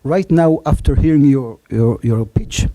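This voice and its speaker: A man in his forties from Argentina with an Israeli and Spanish accent, pitching his startup on stage with many fillers and self-deprecating jokes. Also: measured pace, dark tone. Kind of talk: conference pitch.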